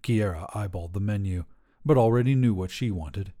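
A man's voice: lowish voice, brisk, mellow. A man reading a scripted short story as a podcast narrator.

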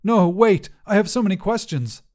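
Narrator as grieving, bewildered man, soft-edged voice. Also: high voice, lively intonation, voice pushed up. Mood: pleading. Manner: halting, earnest, blurting.

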